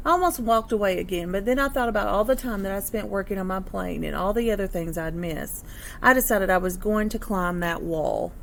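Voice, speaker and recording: A woman's voice: medium pitch, fairly quick, vocal fry, a little hiss or hum. A woman teacher reading a picture book aloud to children, expressively, with instructive asides and questions for young listeners.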